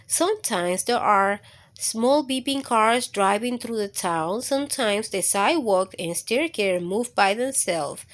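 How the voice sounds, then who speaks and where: A woman hosting storytime for children, reading aloud with deliberate phrasing and speaking straight to her young listeners. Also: medium pitch, fairly bright tone.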